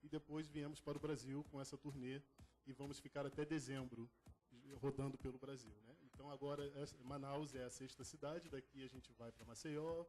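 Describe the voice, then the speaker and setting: Man, medium pitch, theatre company member, casual interview talk. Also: fairly even intonation, fairly quick, a little mellow.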